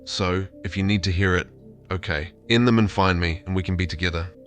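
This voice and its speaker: A man's voice: sits low, fairly quick, soft background music, close to an everyday voice. A synthetic male voice playing a tender, lovestruck AI therapist chatbot, earnest and affectionate in intimate conversation. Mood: reluctant.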